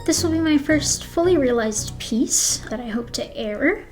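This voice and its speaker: Casting: young female interviewer for a radio piece, voice on the higher side. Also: lively intonation, unhurried, over music. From born in Sri Lanka, grew up in Canada. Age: young adult. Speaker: interviewer and host.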